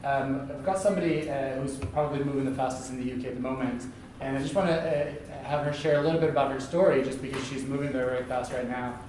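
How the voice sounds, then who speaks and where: Man from sweden, stage host, quick speech, slightly raised pitch, big echoey hall. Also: fairly even intonation. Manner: quick, with many uh fillers. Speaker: event host introducing speaker. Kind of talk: stage interview. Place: large echoey event hall. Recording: echoey hall, distant mic, faint hiss.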